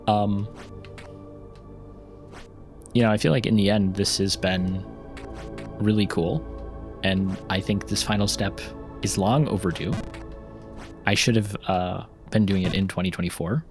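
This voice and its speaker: A man's voice: on the low side, steady tone, a little slow, pauses often, over music. A man chatting casually while playing a game, rambling with frequent ums and restarts and occasional laughs. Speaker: gaming YouTuber and novelist.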